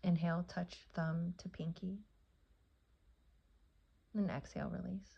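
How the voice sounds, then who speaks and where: A woman with a low, gravelly voice leads a guided meditation. She speaks soothingly in short phrases, leaving long pauses between them. The recording sounds slightly dull and muffled. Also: flat delivery. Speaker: yoga nidra teacher and meditation guide.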